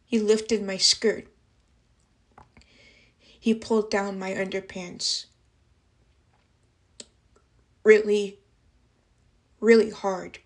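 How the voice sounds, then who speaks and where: A woman playing a traumatized young waitress in a dramatic monologue, speaking haltingly in broken phrases. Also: mid-range voice, flat delivery. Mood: distressed, intense. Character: traumatized young working-class waitress recounting an assault. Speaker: actress performing a film monologue.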